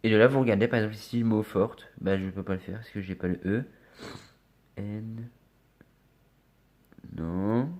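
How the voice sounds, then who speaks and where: A man's voice: fairly low pitch, fairly even intonation, moderate pace, pauses often, a little mellow. A man with a cold chatting casually to viewers as he scratches lottery tickets, often muttering and spelling out letters one at a time. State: has a cold. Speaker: scratch card vlogger.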